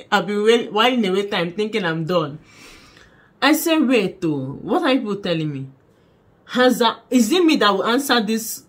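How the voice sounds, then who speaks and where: A young woman with a Nigerian accent, telling a story to camera in a small bedroom in an animated, dramatic way. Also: fairly high pitch. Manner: animated, dramatic, laughing, mixing in pidgin. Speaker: vlogger. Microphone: camera in front at desk distance.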